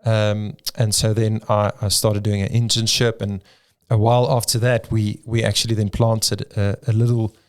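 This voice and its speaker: Low-voiced South African pastor. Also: male voice, little pitch movement, moderate pace. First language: Afrikaans. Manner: thoughtful, with frequent ums and restarts. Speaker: pastor and church planter. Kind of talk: interview storytelling.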